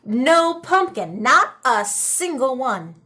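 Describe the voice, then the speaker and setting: A woman reading a children's story aloud in a dramatic, expressive style with deliberate pauses. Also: high-pitched. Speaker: storybook reader. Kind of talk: animated children's read-aloud.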